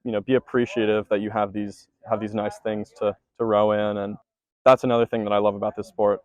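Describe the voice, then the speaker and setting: A man's voice: fairly low pitch, little pitch movement, brisk, a little mellow, dry recording, highs cut off. A teenage male rower giving a casual post-race interview, talking loosely with many fillers and restarts. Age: teens. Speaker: junior rower.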